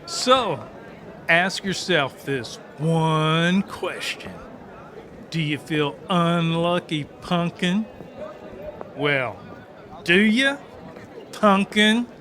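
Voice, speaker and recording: Man playing slow, high-pitched cocky knife fighter. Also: bright, forceful tone, heavily acted. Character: cocky wisecracking knife-throwing male fighter. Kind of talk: audiobook drama acting.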